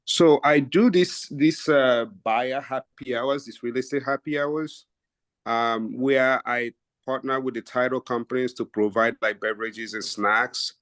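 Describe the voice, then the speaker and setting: A man speaks in an even, mid-pitched voice, laying out his steps one after another with a few fillers. The sound is close and dry over a video call, with no room around it. He comes across as an agent explaining his routine to colleagues. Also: a little slow, no room sound. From Nigeria. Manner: steady, methodical, stacks up examples with fillers. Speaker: real estate agent. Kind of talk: casual explanation in a team video meeting.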